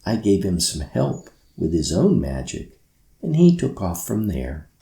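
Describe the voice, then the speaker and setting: A man voicing a calm, kindly male magical guide from a storybook, with an unhurried, gently knowing manner. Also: low-pitched, gravelly, reverberant, full character voice.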